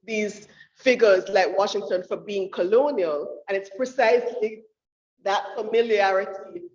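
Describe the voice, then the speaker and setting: A woman giving an academic talk about her research at a book event, speaking in a thoughtful, halting way with frequent restarts. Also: mid-range voice, a little mellow. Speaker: featured author at a book talk. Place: university lecture room. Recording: roomy, dull room audio.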